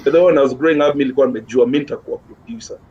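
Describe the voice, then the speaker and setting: A man's voice: medium pitch, racing, darkish tone. Kenyan man, muffled noisy recording. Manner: informal, repeats words for emphasis. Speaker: interview guest, gospel musician. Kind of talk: casual chat, code-switching. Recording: dull lo-fi sound with clear background noise.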